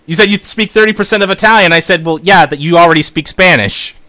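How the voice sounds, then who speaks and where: A man hosting a casual tabletop gaming podcast, relaxed and joking as he chats with his co-hosts. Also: high voice, fast talker. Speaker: podcast host.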